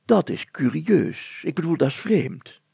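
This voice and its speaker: Pompous, nervy storybook mayor, played by a male narrator: fussy, hemming, stammering, formal, with a rough gravelly edge. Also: fairly high pitch, animated, a little slow, dark tone, dry recording, voice pushed up, exaggerated voice. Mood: puzzled. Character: flustered, pompous mayor, adult man, nervously fussy. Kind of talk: children's story character voice.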